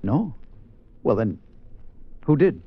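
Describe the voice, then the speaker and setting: An actor playing an uneasy, hesitant film actor under suspicion in 1950s radio drama, with a halting manner. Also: male voice, medium pitch, sing-song, breathy edge, heavily acted. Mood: defensive. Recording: dull, noisy 1950s radio recording.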